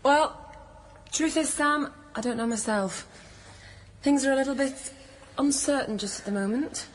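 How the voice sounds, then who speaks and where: A woman's voice: high-pitched, measured pace, a little hiss or hum. An actress playing a touchy, flippant, bookish woman in a naturalistic radio drama, speaking in a wry, guarded way. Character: touchy, flippant, bookish woman visiting her old friend.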